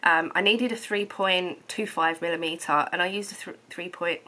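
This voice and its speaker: A woman, chatting to camera in her living room, a little hoarse from a cold, rambling with many ums and restarts. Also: on the low side, fairly even intonation, moderate pace, bright, forceful tone. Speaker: knitting vlogger. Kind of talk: casual chat to camera.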